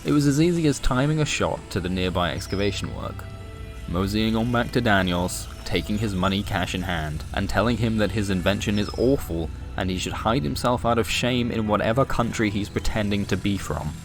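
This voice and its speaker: A man's voice: lowish voice, a little fast, music in the background. A man reading scripted comic science fiction as a storyteller, with a wry, dramatic delivery. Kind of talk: scripted comic sci-fi narration.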